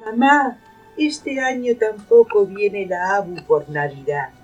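A woman's voice: medium pitch, slow, faint music behind, rough recording. A woman playing a wistful little granddaughter in an amateur radio play. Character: sad little granddaughter missing her grandmother.

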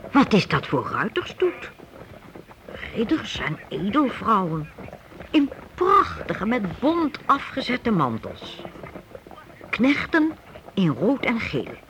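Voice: mid-range voice, fairly bright tone, exaggerated voice, rough recording. An actor narrating a radio play for young listeners as a vivid medieval storyteller. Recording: old radio recording with faint noise.

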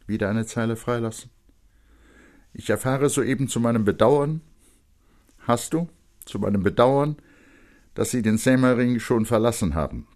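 A man's voice: mid-range voice, voice pushed up, close to an everyday voice. A man voicing a stern, cold mother who gives her young son hard, clipped orders in a literary reading. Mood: hard dictating. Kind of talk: literary audiobook character reading.

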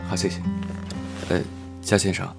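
A man's voice: deep voice, monotone, normal speed, creaky, over music. A man playing a modest, considerate male minor actor in an audio drama, speaking politely and mildly, a little hesitant. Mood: gentle.

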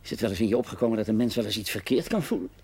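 A man's voice: fairly low pitch, animated, rapid-fire, darkish tone, slightly rough. An actor with a Dutch accent playing a sardonic, evasive husband and new father in a period television drama, with a dry, brusque manner. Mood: evasive, irritated. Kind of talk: naturalistic television drama acting. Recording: noisy 1970s television soundtrack.

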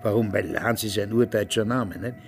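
Gravelly, smug old Austrian man. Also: fairly low pitch, moderate pace, faint music behind. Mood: smug reminiscing. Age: older, past retirement. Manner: smug, rambling. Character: self-satisfied old ex-Nazi, retired Austrian police major. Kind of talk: radio drama acting, reminiscing.

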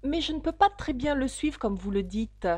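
A woman's voice: high-pitched, expressive, normal speed, a little mellow, no room sound, voice pushed up. A woman voicing a polite, curious little girl who answers earnestly, for a storybook reading.

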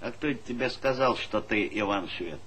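A man acting as a collective farm boss in a Soviet stage comedy. He is blunt and folksy, with a bright, forceful voice and dry irony. The old theatre recording carries faint noise. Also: fairly low pitch, moderate pace, natural voice. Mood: teasing, stubborn. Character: gruff, teasing male collective farm chairman.

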